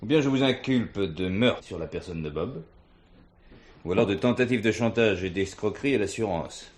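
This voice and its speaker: A man acting a shrewd police commissioner in a French 1970s police drama, questioning in an unhurried, pressing way. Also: on the low side, expressive, lightly acted. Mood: pressing, authoritative.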